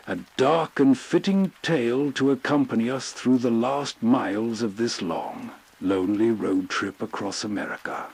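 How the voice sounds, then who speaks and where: Husky male storyteller narrating a night journey. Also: medium pitch, a little mellow. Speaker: narrator, host. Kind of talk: atmospheric scripted narration.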